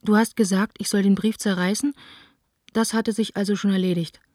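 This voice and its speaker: A woman's voice: mid-range voice, steady tone, normal speed, darkish tone, no echo, clean studio sound, lightly acted. An actress playing a passionate, wounded young woman who reads her candid letters in a radio play, intimate and plainspoken. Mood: hurt, defiant.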